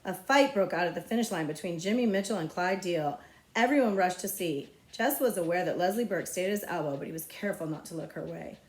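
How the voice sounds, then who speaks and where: A woman narrating a children's novel aloud as a librarian would, with expressive storytelling. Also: on the low side, quick pace. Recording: echoey, roomy sound.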